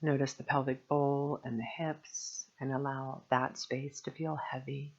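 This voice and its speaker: A woman guiding a meditation as a teacher, speaking gently and soothingly in measured phrases with long pauses. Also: rumbling low, little pitch movement, husky, highs cut off. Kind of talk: guided meditation narration.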